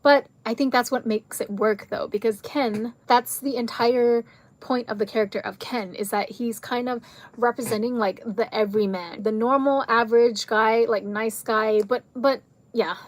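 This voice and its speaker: A woman chatting to camera in a rambling, tangent-filled way, full of fillers, her voice going hoarse. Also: fairly high pitch, fairly even intonation, moderate pace. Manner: frequent fillers like "like" and "um". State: losing her voice. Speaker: video creator reviewing a film. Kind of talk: casual chat to camera.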